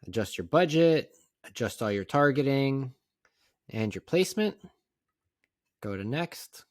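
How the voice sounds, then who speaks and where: A man narrating a casual step-by-step software tutorial in a plain, conversational, instructional way. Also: medium pitch, expressive, a little slow, dry recording, clean studio sound. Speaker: tutorial presenter.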